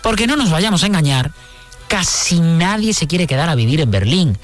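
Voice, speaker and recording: A woman's voice: lowish voice, sing-song, moderate pace, soft background music. A woman, talking candidly and reflectively as an interviewed guest, repeating words for emphasis. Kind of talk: conversational radio interview.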